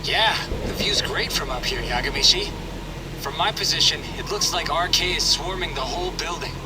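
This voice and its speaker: An actor playing a polite, unflappable tech-savvy lookout in a video game, measured, precise and slightly formal. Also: male voice, fairly high pitch, over loud music, natural voice, poor audio. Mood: calm reporting.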